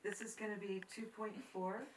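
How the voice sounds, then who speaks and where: A woman's voice: medium pitch, flat delivery, normal speed, recorded from a distance. A woman chatting casually and playfully during a recording session. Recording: echoey room, off-mic, faint noise.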